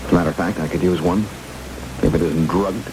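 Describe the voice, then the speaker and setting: Man acting lowish voice radio drama hero, sardonic stubborn courier, swings a lot, loud rain noise over it. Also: steady tone, fast talker, close to an everyday voice. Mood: defiant, dry. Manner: dry, skeptical, dramatic. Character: guarded government courier husband, stubborn and sardonic. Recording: old radio broadcast under heavy rain noise.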